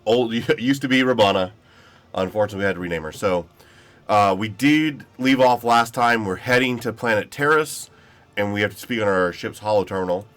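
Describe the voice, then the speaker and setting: A man hosts casual gameplay commentary and rambles through what he is doing in an easygoing way. He is a little sick, so his very deep voice comes out slightly nasal. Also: fairly quick, fairly bright tone. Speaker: gaming channel host.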